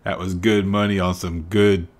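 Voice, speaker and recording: A middle-aged Southern man with a somewhat low voice talks into a studio mic in a small home room. His chat is casual and emphatic, full of asides and ready laughter. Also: level tone, normal speed. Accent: Southern American. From Southern United States. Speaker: reaction video host. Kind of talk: casual commentary. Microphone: studio mic on arm, wearing headphones.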